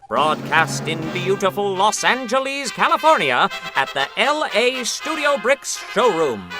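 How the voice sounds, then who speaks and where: A man's voice: sits high, poor audio. A man playing a dramatic 1950s radio announcer, theatrical and showy. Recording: music playing behind the voice.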